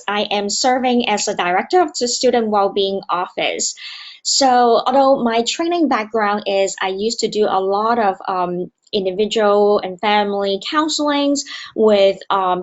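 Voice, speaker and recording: Woman presenting over a video call, lots of ums, earnest, muffled lo-fi sound. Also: mid-range voice. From Hong Kong. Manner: earnest, many fillers and hesitations. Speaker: university student wellbeing director. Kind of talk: conference presentation. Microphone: remote video call.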